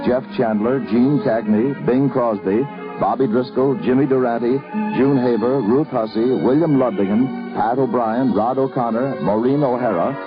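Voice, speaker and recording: A man's voice: medium pitch, normal speed. A man working as a 1950s network radio announcer, reading scripted credits in a formal, polished delivery. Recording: dull 1950s radio recording. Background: loud music.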